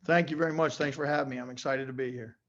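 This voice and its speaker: A man's voice: mid-range voice, fairly even intonation, fast, lo-fi sound. A middle-aged man, a basketball coach, answering with lots of energy, hesitations and repeated words. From West Virginia. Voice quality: loud. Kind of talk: casual interview answers.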